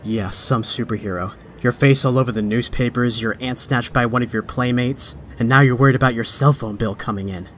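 An actor playing a young, wisecracking but stressed superhero in a comic dub, with a sardonic, conversational delivery. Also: male voice, mid-range voice, brisk, hardly any pauses, a little hiss or hum, natural voice. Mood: anxious brooding. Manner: sardonic, conversational inner monologue. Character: young male superhero, wisecracking yet stressed and self-doubting. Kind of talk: dramatic comic dub acting.

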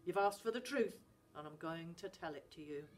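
A woman reading a story aloud, voicing a stern father who scolds loudly. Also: lowish voice, lively intonation, normal speed. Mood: grave. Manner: loud scolding. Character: gruff Scottish fisherman father, stern.

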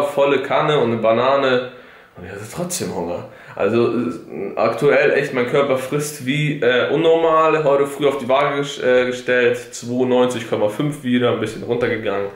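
A man's voice: medium pitch, reverberant. Slangy young man vlogging to camera. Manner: loose, slangy and joking, livelier at the gym. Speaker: fitness vlogger. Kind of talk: casual vlog chat. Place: home kitchen, then a large gym. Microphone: camera held at arm's length.

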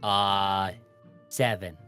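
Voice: deep voice, steady tone, very slow, fairly bright tone, smooth, faint music behind. A game player chatting casually with friends in a joking, teasing manner. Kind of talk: casual chat.

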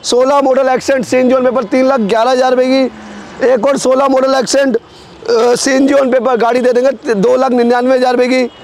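A man dealing used cars, rattling off models and prices in a quick, repetitive sales patter outdoors on a car lot. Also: very high-pitched, level tone, a little mellow, slight background noise. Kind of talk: sales pitch listing prices.

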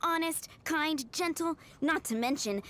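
A woman's voice: high voice, a little slow, fairly bright tone, slight background noise. A woman playing an earnest, admiring young woman in a video game, polite and a little gushing. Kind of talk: scripted video game voice acting. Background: music.

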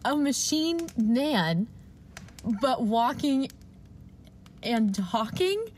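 A woman's voice: on the high side, lively intonation, drawn-out words, a little mellow, slight background noise. A woman playing a polite, wide-eyed and hesitant doctor in 1940s radio drama style. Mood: astonished.

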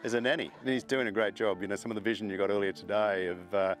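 A man's voice: fairly low pitch, steady tone, fast talker, faint music behind. A middle-aged man, a horse farm owner, talking casually in an interview, rambling with fillers and restarts.

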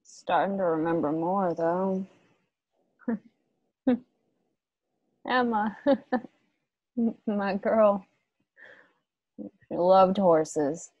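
A woman playing a guarded, grieving widow in a western radio play, speaking in halting, understated phrases. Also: lowish voice, no echo, muffled recording, exaggerated voice. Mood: wistful, tender. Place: home, on a group video call.

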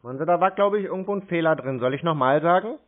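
A man from Germany stringing along a scam caller, slow and feigning confusion as he fumbles for details. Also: on the high side. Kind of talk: playing dumb on a prank call. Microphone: phone. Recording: tinny phone line.

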